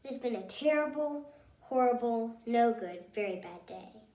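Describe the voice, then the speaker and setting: A woman reading a children's picture book aloud as a storyteller, expressively and in short, measured phrases. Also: on the high side, animated, warm tone. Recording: roomy echo, distant mic.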